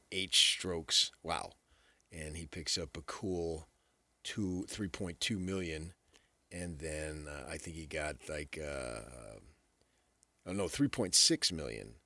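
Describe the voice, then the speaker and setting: A man's voice: deep voice, slow, sharp tone, no echo. A man with an American accent making casual, blunt, informal sports comments as a radio co-host. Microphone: close studio microphone.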